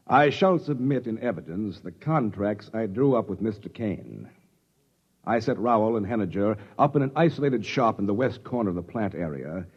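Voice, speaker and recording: An actor playing a cautious, self-justifying middle-aged American executive, formal and blunt, in 1950s radio drama style. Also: male voice, fairly low pitch, slightly rough. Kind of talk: 1950s radio drama acting, part testimony, part dialogue. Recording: dull vintage 1950s radio recording.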